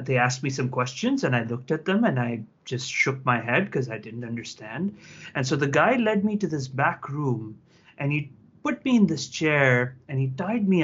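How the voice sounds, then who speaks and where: A man's voice: medium pitch, fairly bright tone. Wry man telling a story over a video call. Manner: wry, self-mocking storyteller. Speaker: panelist. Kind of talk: casual anecdote on a video call. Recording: muffled video-call audio.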